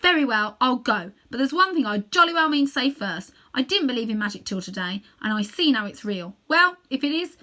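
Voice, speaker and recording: A woman's voice: fairly high pitch, big pitch swings, a little fast, hardly any pauses, bright, forceful tone, voice pushed up. A woman voicing a plucky, indignant young schoolboy in a children's storybook, blunt, accusing and emphatic. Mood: defiant.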